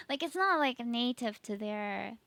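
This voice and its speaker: A woman's voice: fairly high pitch, fairly bright tone, dry recording. A woman from Singapore, hosting a stream and chatting casually, laughing often. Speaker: streamer host. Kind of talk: casual chat.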